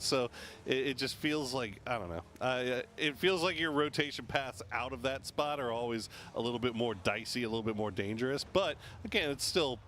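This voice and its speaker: A man's voice: medium pitch, a bit bright, slight background noise. A young man doing live esports play-by-play, chatty and teasing. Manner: chatty, teasing, quick to laugh. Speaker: esports commentator.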